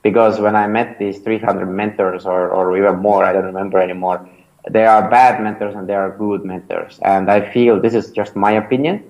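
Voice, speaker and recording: A man's voice: lowish voice, fairly even intonation, normal speed, a little mellow, lo-fi sound. A man in his thirties with an Estonian accent, whose first language is Estonian. He answers an interview casually and hesitantly, with many fillers and restarts. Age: 30s. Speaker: startup founder and mentor, interview guest.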